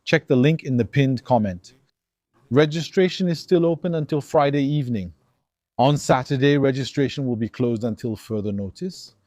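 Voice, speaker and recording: A man's voice: mid-range voice, moderate pace, no echo. A man from Algeria giving an emphatic opinion monologue to his viewers, acting out dialogues. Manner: animated, emphatic, acts out dialogues. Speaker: commentator.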